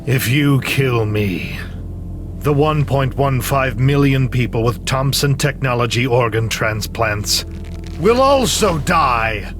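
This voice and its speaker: An actor playing an obsessed, menacing middle-aged tech mastermind in a video game cutscene, theatrical and emphatic. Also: male voice, medium pitch, animated, takes it slowly. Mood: cold threat. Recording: music under the voice. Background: music.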